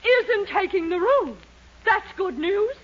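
An actress playing a sharp, grasping middle-aged landlady and mother in a 1940s radio sitcom, on an old recording. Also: very high voice, animated, takes it slowly, dull audio, full character voice. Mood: alarmed. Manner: sharp, indignant.

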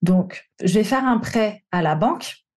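Woman from the paris region, coach, candid storytelling, mellow warm tone, casual slangy delivery. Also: medium pitch, animated, a little slow. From Paris region, France. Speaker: coach and podcast host. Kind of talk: personal storytelling monologue.

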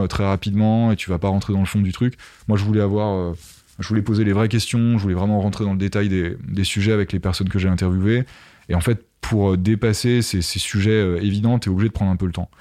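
Male podcaster in interview, lowish voice, casual and conversational, explaining things directly to the listener. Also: darkish tone.

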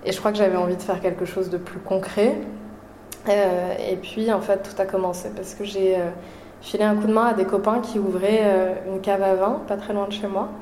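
A woman chef from Paris, talking about her career in a relaxed, halting way with many fillers. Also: medium pitch, roomy sound, slight background noise. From Paris, France. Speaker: chef and restaurant owner, guest. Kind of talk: casual interview.

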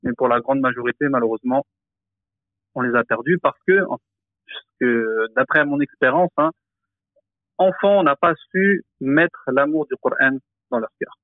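A man who teaches the Quran, explaining slowly in a podcast discussion, hesitant, with many fillers and restarts. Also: medium pitch, dry recording, phone-quality sound.

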